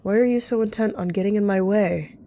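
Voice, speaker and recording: A man's voice: high-pitched, fairly quick, mellow, pitched above the performer's own voice. A man voicing a bitter, guarded rogue cat in a roleplay, wary and confrontational. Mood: bitter, angry.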